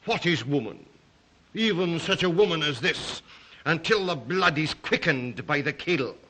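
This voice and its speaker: An actor playing a suave, predatory count with courtly, condescending manners, in old melodrama style. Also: male voice, fairly high pitch, animated, unhurried, pushed and bright, slight background noise. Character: suave, predatory foreign aristocrat who hunts humans, man. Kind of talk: old film-era melodrama acting.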